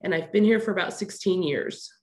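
A woman's voice: lowish voice, fairly quick. A woman in her fifties with a stuffy, congested voice, introducing herself on a video call from an office. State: stuffy from a sinus infection. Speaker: panelist.

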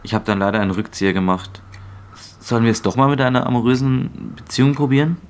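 A man's voice: medium pitch, little pitch movement, quick pace, slight background noise, natural voice. A young man playing a would-be lover reading online chat messages in a radio play. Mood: forward, tentative.

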